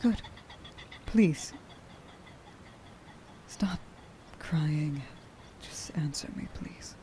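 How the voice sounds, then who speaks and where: Woman acting a slow-spoken, stern huntress. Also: sits low, mellow, whispered. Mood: pleading, shaken. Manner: slow, halting, intense delivery with sighs. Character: stern, grieving young huntress who hates werewolves. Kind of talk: dramatic ASMR roleplay monologue.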